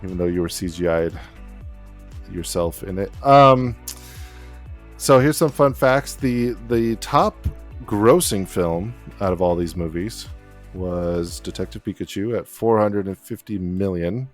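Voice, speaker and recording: A man hosting a casual podcast chat in a relaxed way. He chuckles often and reads figures off his notes. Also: low-pitched, unhurried, faint music behind. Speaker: podcast host.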